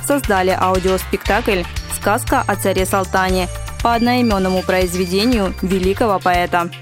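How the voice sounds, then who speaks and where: A woman's voice: medium pitch, normal speed, over loud music. A woman reading a scripted news feature as a radio presenter.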